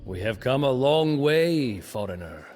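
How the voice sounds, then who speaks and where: A man's voice: mid-range voice, big pitch swings, unhurried, a little mellow, soft background music. An actor playing a proud, bitter medieval Lithuanian prince who narrates his story theatrically in a video game cutscene. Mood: resolute. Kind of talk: dramatic scripted historical narration in character.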